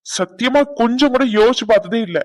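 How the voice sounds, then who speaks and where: A woman voicing a grandmother who gently coaxes and questions her granddaughter. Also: fairly high pitch, animated, fast talker, pitched below the performer's own voice, lightly acted. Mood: reproachful. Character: soft probing grandmother.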